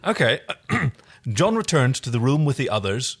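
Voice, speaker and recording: Male co-host, mid-range voice, animated swings in pitch, informal story narration. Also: moderate pace, husky. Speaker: podcast co-host reading fan fiction aloud. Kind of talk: story reading with casual chat.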